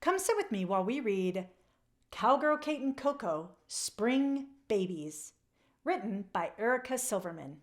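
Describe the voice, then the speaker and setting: Slow, lively woman reading a storybook. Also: a little high. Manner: measured, animated reading to children. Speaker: children's book read-aloud host. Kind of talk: expressive storybook narration.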